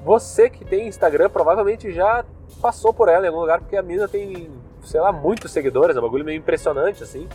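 A man's voice: high voice, brisk, darkish tone, soft background music. A young man from southern Brazil with a Gaúcho accent, a podcast host rambling casually with loose slangy talk and many fillers while sitting in his car. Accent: Gaúcho Brazilian Portuguese. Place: inside a car.

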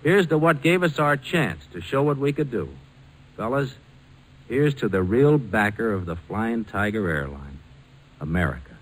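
A man's voice: on the low side, animated, normal speed, noisy background, close to an everyday voice. Man acting proud airline founder in radio drama. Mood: proud, solemn. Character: proud American airline founder, former fighter pilot.

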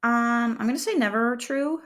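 A young woman talks through a quiz in a soft, calm voice, reading each question and then rambling about her answer. Her speech is loose and casual, full of fillers, and she jokes at her own expense. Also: a little high, normal speed. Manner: chatty, rambling, filler-heavy, self-deprecating humor. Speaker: vlogger.

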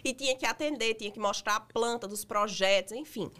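Lively Northeastern Brazilian woman, slightly hoarse. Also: fairly high pitch, a little fast. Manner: lively, chatty storytelling with laughter. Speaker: guest, makeup artist. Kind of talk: podcast interview.

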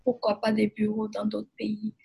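A woman from Burkina Faso, answering interview questions in hesitant, measured phrasing. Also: medium pitch, monotone, gravelly, dark tone, husky. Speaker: guest, immigration lawyer.

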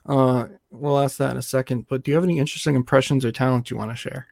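A man hosting a podcast, asking questions in a relaxed, loose way with fillers. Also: mid-range voice, quick pace, creaky, dry recording. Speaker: podcast host. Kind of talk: casual interview hosting. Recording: dry close mic.

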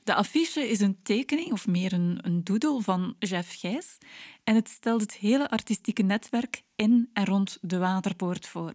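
A woman's voice: medium pitch, measured pace, a little mellow. A woman with a Flemish accent narrating a personal documentary from a script, as its host.

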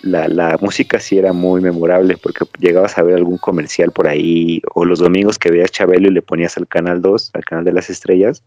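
A man's voice: deep voice, little pitch movement, brisk, hardly any pauses, a little mellow, dry recording. A Mexican man hosting a podcast, chatting casually and digressing as he tells stories. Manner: rambling, storytelling, digressive. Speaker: podcast host. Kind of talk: casual chat. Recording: dry, slightly dull lo-fi audio.

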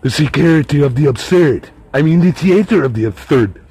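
A man's voice: a little high, lively intonation, fairly quick, warm tone, husky, voice pushed up, full character voice. A man voicing a rambling, self-important counterterrorism expert who babbles pretentious patter in a chirpy voice. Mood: pompous.

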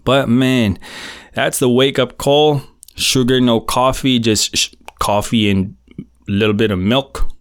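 A middle-aged Filipino man, a native Tagalog speaker, hosting his own podcast in relaxed, slangy chat with plenty of fillers. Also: medium pitch, a little slow, smooth, no room sound, clean studio sound. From Philippines. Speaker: podcast host. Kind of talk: casual solo podcast chat.

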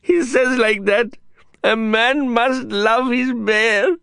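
A man doing a tender young guide, high and earnest. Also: steady tone, slow pace, creaky, fairly bright tone, clear, resonant tone, no room sound, clean studio sound, heavily acted. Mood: tearful. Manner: earnest, plaintive, broken English phrasing.

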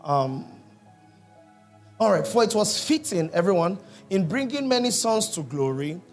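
A man's voice: sits high, big pitch swings, measured pace, faint music behind. A man preaching a sermon in a church, reading scripture and quizzing the congregation. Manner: teaching, asks the congregation questions. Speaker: pastor.

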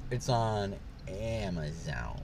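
A man's voice: sits low, sing-song, takes it slowly, creaky, a little mellow, a little hiss or hum. A young man co-hosting a podcast, chatting casually from a parked car and rambling with lots of fillers. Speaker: podcast co-host. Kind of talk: casual chat.

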